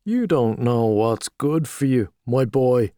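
A man voicing a boastful, hearty Irish journalist, talking loudly and expansively over drinks. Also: mid-range voice, animated, slow pace, clean tone, dry recording, studio quality. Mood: boisterous. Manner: loud, expansive, back-slapping.

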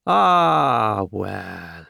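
A man voicing a boastful, hearty Irish journalist, talking loudly and expansively over drinks. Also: fairly low pitch, big pitch swings, extremely slow, vocal fry, no room sound, clean studio sound, exaggerated voice. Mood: boisterous. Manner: loud, expansive, back-slapping.

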